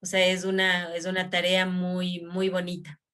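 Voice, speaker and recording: A woman interviewing in an effusive, halting way, often repeating phrases. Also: lowish voice, monotone, fairly bright tone. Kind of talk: conversational interview.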